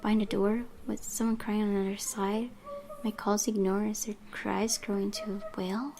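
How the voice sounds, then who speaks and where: Woman reading aloud with a creaky voice. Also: mid-range voice, little pitch movement, moderate pace, darkish tone, slight background noise. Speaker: gaming YouTuber. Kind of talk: reading visual novel narration aloud.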